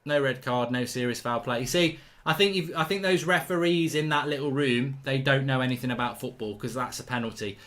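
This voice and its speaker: Male host live football watchalong, blunt and chatty, reads viewer messages aloud, mid-pitched voice. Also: a little fast, few pauses, a bit bright. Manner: chatty, reactive, blunt and indignant with mild swearing. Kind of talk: live match watchalong commentary reading viewer chat.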